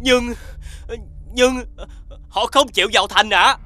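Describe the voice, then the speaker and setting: A man voicing a deferential, flustered palace herald making announcements in an animated fairy tale. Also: very high voice, slow, exaggerated voice. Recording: music behind the voice.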